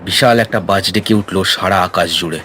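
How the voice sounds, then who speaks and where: A man's voice: sits low, normal speed, background noise. A man reading a scripted horror story as narrator, in storytelling style. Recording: rain and ambience behind the voice. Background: rain sound effects.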